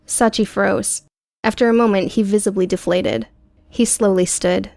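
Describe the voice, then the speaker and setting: A woman's voice: medium pitch, steady tone, normal speed, creaky, darkish tone, no room sound, studio quality. A woman reading dramatic scripted narration as an audiobook narrator.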